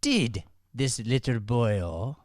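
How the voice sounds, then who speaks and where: A man acts out a menacing Irish householder who guards his alley. He taunts in slow, drawn-out threats with a widely swinging pitch. The sound is close and dry, like an improvised radio play. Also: lively intonation, darkish tone, no room sound. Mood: taunting. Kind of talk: improvised old-time radio comedy play.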